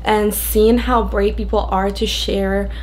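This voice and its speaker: A woman's voice: mid-range voice, level tone, slow, a little mellow, slight background noise, rough recording. A young woman from Venezuela, a native Spanish speaker with a Venezuelan accent, giving a candid, conversational personal testimony to camera. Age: young adult. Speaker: video creator sharing a testimony.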